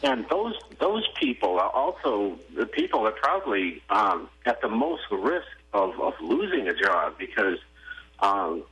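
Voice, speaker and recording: A man's voice: lowish voice, sing-song, measured pace, fairly bright tone, highs cut off. A man co-hosting a radio talk show, speaking in a conversational, skeptical way with many hesitations. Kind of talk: casual radio chat. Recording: AM radio broadcast.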